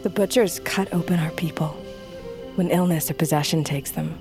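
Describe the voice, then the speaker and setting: A woman's voice: sits low, steady tone, moderate pace. Fantasy audio drama: young female warrior heir, confident, playful, stylized archaic lines, music bed. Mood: calm, knowing.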